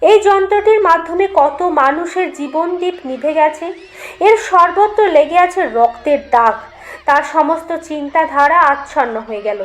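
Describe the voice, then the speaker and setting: Female storyteller, high register, for scripted horror audiobook narration; dramatic, literary read. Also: moderate pace.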